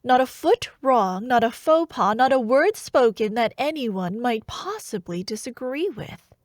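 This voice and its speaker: Slow, teasing scheming princess, acted by a woman. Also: fairly high pitch, lively intonation, dry recording, studio quality. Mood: amused, intrigued. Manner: hushed, teasing, slow, haughty delivery. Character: scheming, teasing young royal princess at court. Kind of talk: intimate ASMR fantasy roleplay monologue.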